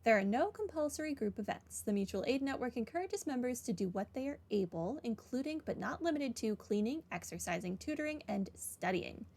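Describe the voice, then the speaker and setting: A woman's voice: on the high side, lively intonation, fairly quick, few pauses, a little mellow. A woman game streamer with a wry manner, casually chatting and reading aloud during a playthrough. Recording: game music behind.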